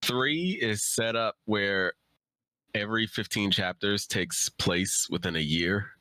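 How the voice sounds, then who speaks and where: A man's voice: lowish voice, slow, bright, forceful tone, no room sound. A man in his thirties chatting casually and informally as an author on a livestream Q&A. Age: 30s.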